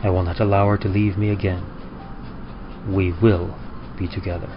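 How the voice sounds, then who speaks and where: A man's voice: low voice, flat delivery, moderate pace. A man playing an obsessive, lovelorn man in a solemn, theatrical first-person horror tale. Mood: yearning, possessive. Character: obsessive lovelorn man awaiting his dead love, enthralled. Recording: dull audio under loud music.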